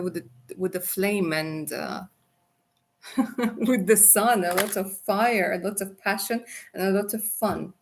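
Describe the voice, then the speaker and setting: A chatty, affectionate woman gives a live tarot reading, her voice at an ordinary middle pitch. She talks to viewers warmly and casually, calling them darling. A faint hiss of noise sits under the stream. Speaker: tarot reader.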